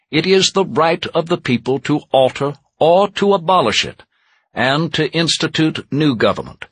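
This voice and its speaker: A man giving a formal, measured, declamatory reading of an eighteenth-century document, like an orator. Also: mid-range voice, a bit bright, breathy edge, no room sound. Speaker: historical interpreter reading aloud.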